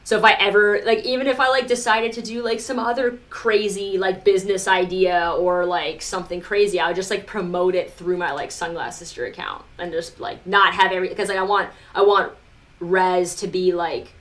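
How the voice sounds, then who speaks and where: A woman's voice: fairly low pitch, steady tone, moderate pace, a bit bright. A woman live streamer talking casually to her viewers, rambling informally with lots of "like" and some mild swearing. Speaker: live streamer, music producer.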